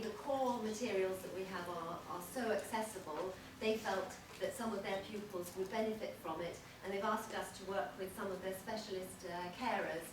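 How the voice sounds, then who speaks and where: A woman giving a measured conference presentation, pausing often with small hesitations. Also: medium pitch. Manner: measured, steady delivery with frequent hesitations. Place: large conference hall. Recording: echoey, noisy hall from far off.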